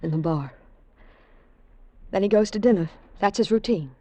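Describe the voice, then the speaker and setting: An actress playing a cool, scheming femme fatale with a poised, insinuating delivery in a 1940s radio drama. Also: female voice, medium pitch, moderate pace, dark tone, lightly acted. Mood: terse.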